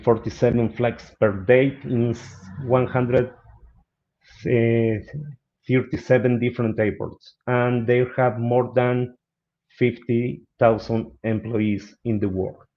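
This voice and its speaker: A man's voice: mid-range voice, level tone, slow pace. A middle-aged man from Argentina with an Argentine Spanish accent, presenting as a guest speaker in a webinar. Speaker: guest speaker, business analyst. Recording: dull lo-fi online call audio.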